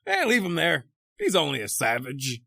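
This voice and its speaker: A man's voice: on the high side, huge pitch swings, moderate pace, vocal fry, bright, forceful tone, no room sound, full character voice. A man playing a callous, greedy schooner captain, condescending and gleeful, in theatrical radio horror style. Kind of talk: old-time radio horror acting.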